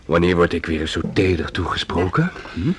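Teasing man, deep voice, very lively intonation, mocking, intimate, radio drama. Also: brisk, crowd noise. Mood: teasing, sardonic. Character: sardonic, teasing male lover. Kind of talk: naturalistic radio drama acting.

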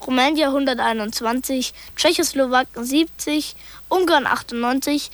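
A woman narrator reading archival documents in a neutral, detached manner. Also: sits high.

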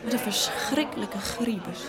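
A woman's voice: medium pitch, normal speed, a crowd in the background, close to an everyday voice. An actress playing a dismayed, disapproving small-town woman in a Dutch radio drama, reproachful in manner. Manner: appalled, reproachful.

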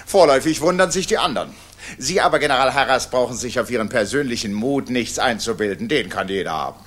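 A cold, self-righteous Nazi official in a sixties radio play. The delivery is sharp and forceful, with lively swings in pitch. Every phrase is clipped, declamatory and threatening. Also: male voice, fast. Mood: defiant menace. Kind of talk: 1960s German radio drama acting.

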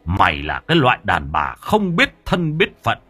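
A man's voice: fairly low pitch, wildly swooping pitch, moderate pace, pushed and bright, slightly rough, soft background music, heavily acted. A man voicing a furious, domineering middle-aged father in an audio story, cursing and repeating himself in rage. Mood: enraged, scornful. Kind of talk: acted dialogue in audio storytelling.

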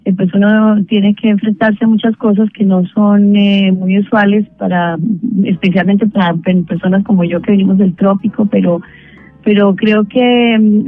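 A middle-aged woman from Bogotá with a Colombian accent, a singer speaking as an interview guest, measured and grateful with frequent pauses. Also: mid-range voice. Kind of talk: phone interview. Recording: tinny phone line with faint music.

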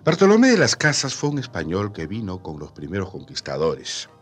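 A man's voice: low-pitched, wildly swooping pitch, a little fast, husky, faint music behind. A man co-hosting a radio programme in a scripted conversational style. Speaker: radio co-host.

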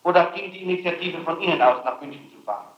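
Male interrogator, rapid and pressing, accusatory, reverberant old tape. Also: high-pitched, muffled recording. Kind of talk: police-style questioning. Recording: echoey archival interrogation tape.

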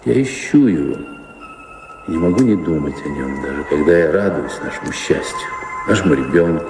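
Very deep, weary baron. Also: male voice, expressive. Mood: wistful. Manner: brooding, reflective, measured. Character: weary, wistful middle-aged baron longing for another life. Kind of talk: Russian radio play acting. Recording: echoey reverberant studio drama with prominent music.

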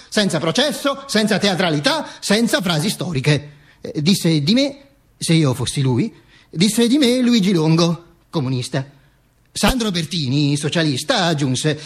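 Dead fascist dictator onstage, sardonic and stammery, husky voice that swings up and down a lot. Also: male voice, high voice. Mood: declaiming. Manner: halting, stammering repetitions, mock-solemn declaiming. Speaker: stage actor performing a monologue. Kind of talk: theatrical monologue, narrating to an audience.

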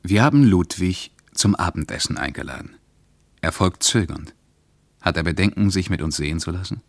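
A very deep-voiced male traveller recounts his journey from a diary in a radio play. He is reflective and deliberate, recorded dry and close. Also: lively intonation, creaky, dry recording, heavily acted.

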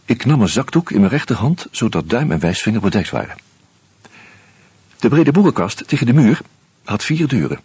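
A man narrating a radio drama as a weary man investigating a murder, in a measured, grim, low-key way. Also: big pitch swings, darkish tone, slightly rough, no room sound. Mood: tense. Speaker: first-person narrator and detective figure.

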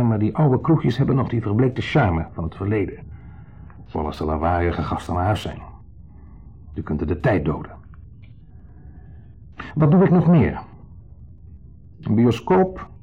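A lonely, clingy ex-lover in a 1970s radio drama. His voice is mellow and husky, pleading for friendship and slipping into downcast confession. The old radio recording is dull. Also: low voice. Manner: pleading, confessional, swinging between eager and downcast. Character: lonely, needy, insistently friendly ex-lover, a guest. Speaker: actor.

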